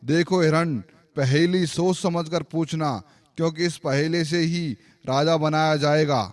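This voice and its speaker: A man voicing a solemn, fair-minded lion king in a cartoon fable, speaking in a measured, authoritative way. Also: fairly high pitch, fairly even intonation, natural voice. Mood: solemn, deliberate. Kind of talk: animated moral story acting.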